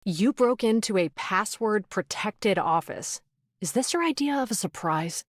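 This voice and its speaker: A woman's voice: mid-range voice, expressive, a little slow, no echo, studio quality. A woman voicing a stern, no-nonsense female officer in an anime game, clipped and disapproving. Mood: stern, displeased. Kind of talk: anime video game voice acting.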